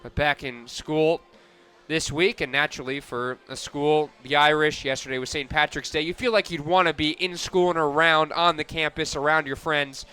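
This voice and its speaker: A man calling live softball play-by-play on the radio as the announcer. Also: a little high, steady tone, normal speed, smooth, soft background music.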